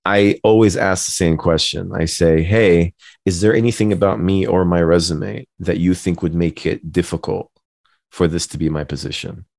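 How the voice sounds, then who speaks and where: A man with a gravelly voice chatting casually as a podcast co-host, in a self-deprecating, joking way. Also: deep voice, fairly even intonation, normal speed, darkish tone, dry recording.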